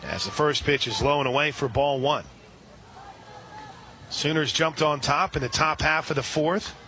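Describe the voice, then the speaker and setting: A man doing live radio play-by-play for a softball game, chatting between pitches and shouting on big plays. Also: a little hiss or hum. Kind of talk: live radio sports commentary.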